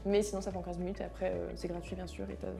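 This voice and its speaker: A woman's voice: on the low side, little pitch movement, very fast, gravelly, warm tone, soft background music. A woman hosting a studio interview in a friendly, casual way, with many small fillers. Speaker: interview host.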